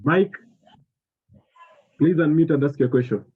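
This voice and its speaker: A man's voice: on the high side, a little slow. A man moderating an online meeting, briefly calling on participants to speak. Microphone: video call. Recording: husky phone-like call audio.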